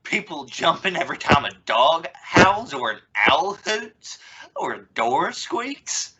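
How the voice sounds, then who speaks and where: A flustered family father, played by a young woman in an old-time radio comedy, sputters as he insists on being reasonable. His delivery is slow and swings widely in pitch, on a recording with clear noise and clicks. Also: big pitch swings, lo-fi sound, exaggerated voice. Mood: exasperated. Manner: stammering, sputtering. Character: flustered, exasperated father trying to sound reasonable.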